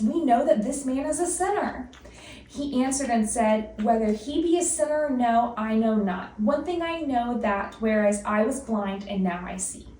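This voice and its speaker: Slow-reading woman in an echoey room. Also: a little high, a little mellow. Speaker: scripture reader. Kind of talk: solemn read-aloud of King James Bible scripture. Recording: echoey room, distant microphone.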